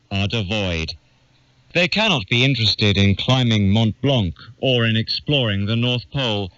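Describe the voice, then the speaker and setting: Expressive man narrating, dull lo-fi sound. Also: medium pitch, dry recording. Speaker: narrator of a literary reading. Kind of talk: scripted audiobook narration of an essay. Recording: dull lo-fi radio capture.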